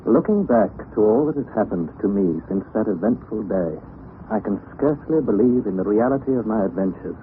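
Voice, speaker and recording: English actor as an earnest young narrator, lively delivery, on a tinny hissy 1960s radio recording. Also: male voice, lowish voice, brisk, natural voice. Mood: wondering. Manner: earnest, wondering storytelling. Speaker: narrator of the drama. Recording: tinny lo-fi vintage radio broadcast with hiss.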